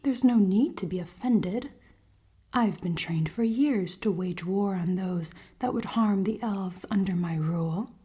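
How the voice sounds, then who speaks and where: A woman playing a cold-hearted, commanding elven queen in a fantasy ASMR roleplay, soft and often whispering, with formal, teasing speech. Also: mid-range voice, measured pace, breathy edge. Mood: haughty, amused. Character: cold-hearted, dominant elven queen, haughty, secretly caring.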